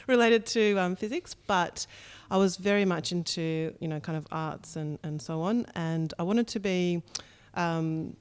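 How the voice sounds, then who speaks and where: Smooth-voiced chatty woman, candid and casual. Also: on the low side, measured pace, few pauses. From Russia. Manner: candid and chatty, with frequent ums. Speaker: startup co-founder, podcast guest. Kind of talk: relaxed interview conversation. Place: bright studio room with a window. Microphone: studio mic on a boom arm.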